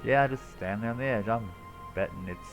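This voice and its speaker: A man's voice: lowish voice, a little fast. A man giving casual live commentary as a game streamer, wry and sweary. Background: game music.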